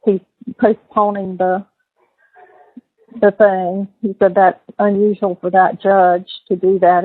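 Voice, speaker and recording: A woman's voice: medium pitch, no echo. A middle-aged woman talking casually on a recorded phone call. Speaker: mother on a call to her son in jail. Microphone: telephone.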